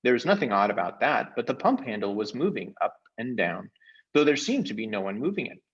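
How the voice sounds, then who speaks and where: A man reading a storybook aloud as an expressive narrator. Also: mid-range voice, a little fast, slightly rough, highs cut off.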